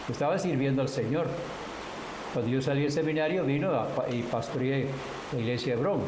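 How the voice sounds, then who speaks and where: A man, a pastor whose first language is English, speaking Spanish with a Costa Rican accent in a conversational Bible-study sermon, answering questions from the congregation. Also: mid-range voice. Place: church hall. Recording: echoey church room with hiss.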